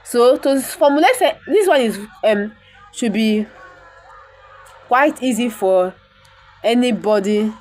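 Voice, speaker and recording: A woman's voice: a little high, expressive, takes it slowly, long pauses, darkish tone. A woman, an Igbo speaker, teaching the language by reading a bible verse and repeating words for pronunciation. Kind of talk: reading and explaining a bible text.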